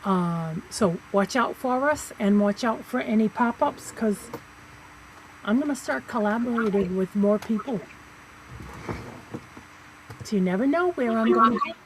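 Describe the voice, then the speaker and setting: A middle-aged woman casually listing items for sale on a live auction stream, slow and halting with many pauses and fillers. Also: on the high side, expressive, background noise. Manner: slow, halting, lots of ums.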